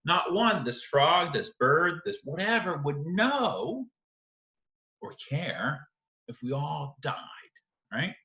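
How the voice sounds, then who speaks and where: A man's voice: a little high, sing-song, takes it slowly, sharp tone, roomy sound, lo-fi sound. A man teaching literature in an informal video lecture, speaking emphatically and often checking in with rhetorical questions. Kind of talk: informal video lecture analysing a short story.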